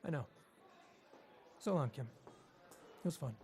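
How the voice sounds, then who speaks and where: A man playing a smooth-talking, self-serving teenage boyfriend in audio drama dialogue. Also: on the high side, lively intonation, takes it slowly, a little mellow. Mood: evasive, dismissive.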